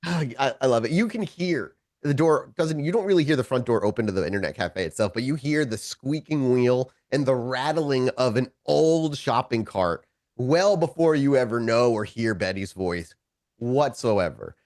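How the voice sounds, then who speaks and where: A man acting as game master, narrating an improvised tabletop story in a casual, descriptive way with animated asides. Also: mid-range voice, moderate pace, dry recording, studio quality.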